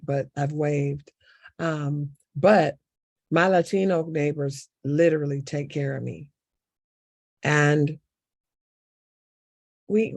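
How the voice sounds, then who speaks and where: Woman candidate, gravelly and impassioned, dry close sound. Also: low voice, darkish tone, no echo. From Southern United States. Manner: impassioned, repeats key words for emphasis. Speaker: city council candidate. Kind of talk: panel interview answer.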